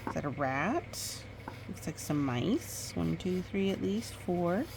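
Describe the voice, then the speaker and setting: A woman reading a picture book aloud in storytime style, with expressive, drawn-out dramatic phrasing and chatty asides. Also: lowish voice, creaky, background noise.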